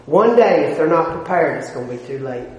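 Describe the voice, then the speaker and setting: High-voiced preacher in an echoing church. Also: male voice, animated, a little fast, darkish tone, a little hiss or hum. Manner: emphatic preaching that swells and drops in volume; folksy, plain-spoken asides. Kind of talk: sermon. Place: church sanctuary. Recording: echoey hall with long reverb.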